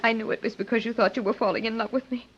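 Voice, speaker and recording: An actress playing a scheming, defiant widow turned murderess in melodramatic old-time radio style. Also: female voice, a little high, steady tone, racing, husky. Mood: desperate, pleading. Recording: muffled vintage radio recording.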